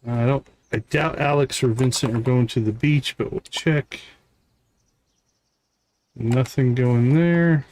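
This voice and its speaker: A man's voice: medium pitch, slow pace, long pauses, a little mellow, a little hiss or hum. A man giving casual live gameplay commentary as a streamer, thinking aloud to himself in short fragments. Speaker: game streamer.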